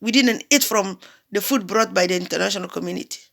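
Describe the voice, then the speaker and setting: An impassioned woman from South Sudan speaks out in an emphatic political address. Her voice has a gravelly, fry-tinged edge at a middling pitch. She drives her points home with repeated phrases and pointed rhetorical questions. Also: fast, a bit bright. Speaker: diaspora commentator.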